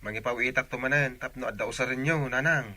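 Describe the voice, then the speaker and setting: A man's voice: medium pitch, fast, pushed and bright, no echo. A man playing a dutiful, devoted young son in a radio drama, speaking earnestly.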